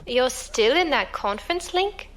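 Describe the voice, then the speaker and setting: A woman's voice: sits high, very animated, unhurried, fairly bright tone, slight background noise, exaggerated voice. A woman playing an inquisitive, uneasy newcomer to a space pirate crew, talking informally in an audio drama. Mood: friendly, anxious. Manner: questioning, informal. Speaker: voice actor. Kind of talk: science fiction audio drama dialogue.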